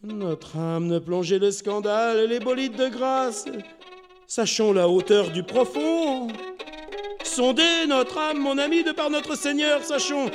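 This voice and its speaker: A man's voice: very high voice, takes it slowly, soft background music. A man voicing a cowardly, whimpering figure who babbles, stammers and pleads, in theatrical storytelling. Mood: pleading terror. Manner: wailing, blubbering, stammering nonsense syllables.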